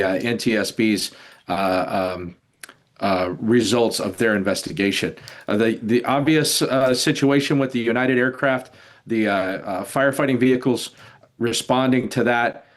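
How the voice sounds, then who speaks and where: An older man, an expert guest in a news interview, explaining in a measured, halting way. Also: lowish voice. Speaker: retired air traffic controller, expert guest.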